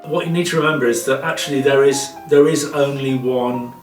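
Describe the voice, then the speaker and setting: A man speaks reflectively in an interview, with a mid-pitched voice. The room is echoey and he is a bit far from the mic, with faint music behind. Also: steady tone, fairly quick. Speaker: theatre artistic director.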